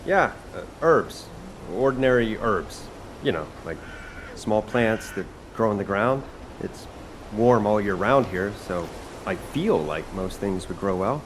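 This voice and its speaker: An actor playing an eager, easygoing young fighter who loves cooking in a video game, casual and playful in manner. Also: male voice, lowish voice, lively intonation, a little slow, slight background noise, low-quality recording. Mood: casual, curious.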